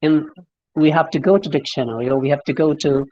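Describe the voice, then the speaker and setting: A man's voice: a little high, fairly even intonation, fairly quick, dull audio, noisy background. A man from Kerala with a Malayali English accent, a speaking coach explaining and demonstrating pronunciation in a friendly online lesson, often spelling words out letter by letter. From Kerala, India.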